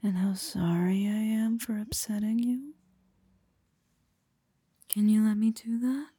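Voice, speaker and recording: A woman whispering a slow, teasing roleplay close to the mic, with a breathy voice. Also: mid-range voice, steady tone, warm tone, no room sound.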